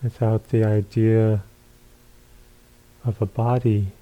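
A man leads a guided meditation in a deeply mellow, relaxed voice that sits a little low. He speaks very slowly and calmly, offering short phrases with long pauses between them, as a teacher gently giving instructions. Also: lo-fi sound.

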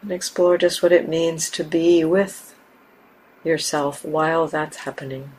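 A woman teaching a guided meditation in a gentle, unhurried manner, leaving long pauses between short phrases. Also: low-pitched, rough recording. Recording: steady background hiss and room ambience.

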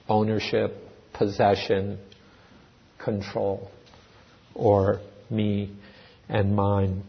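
A man gives a slow, reflective dharma talk in a meditation hall. His voice sits low and a little husky. The recording sounds dull and lo-fi, with a long reverb trailing off the walls. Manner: slow, thoughtful, with restarts and pauses. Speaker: dharma teacher.

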